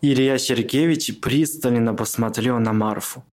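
Man, radio play narrator, middle pitch, scripted literary storytelling. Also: measured pace, a little mellow.

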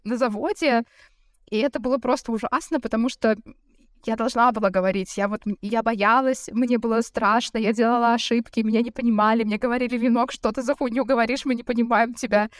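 A woman's voice: fairly high pitch, fairly even intonation, fairly quick, no room sound, studio quality. Earnest young woman on a podcast. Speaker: podcast co-host. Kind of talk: casual chat.

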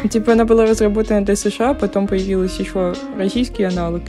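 Young woman in her 20s explaining stuff plainly, medium pitch, music under her. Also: steady tone, quick pace. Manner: matter-of-fact, explains facts. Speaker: podcast co-host. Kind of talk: casual chat.

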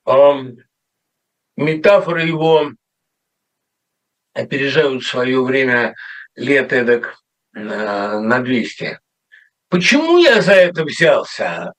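A man's voice: medium pitch, sing-song, takes it slowly, long pauses, a bit bright, rough recording. A middle-aged male writer talking in a casual, rambling monologue, often correcting himself with wry asides. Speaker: writer commenting on a radio show.

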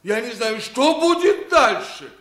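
A man's voice: very high-pitched, sing-song, a little slow, a bit bright, roomy sound, exaggerated voice. A man playing a flustered, aggrieved palace butler with a plaintive, theatrical manner in an old radio play. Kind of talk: 1950s Russian radio play acting.

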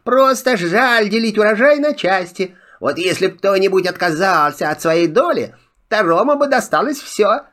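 A man voicing a sly, chatty little male rabbit trickster in a filmstrip audio drama, talking in a wheedling, flattering way. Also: high-pitched, normal speed. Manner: wheedling, flattering, sing-song.

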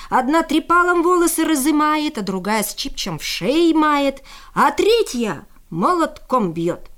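A woman narrates a folk tale as an expressive storyteller. Her voice sits high and moves widely in pitch, with folksy, theatrical phrasing.

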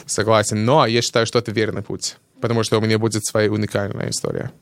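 A man's voice: lowish voice, fast talker, dry recording. Man speaking Russian. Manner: lively, searching for words with fillers. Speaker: guest actor. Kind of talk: casual chat.

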